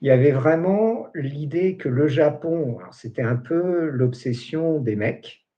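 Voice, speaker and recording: A man's voice: fairly high pitch, expressive, unhurried, highs cut off. A man in his forties hosting a casual interview, joking and chatty, with plenty of fillers. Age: 40s. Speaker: host.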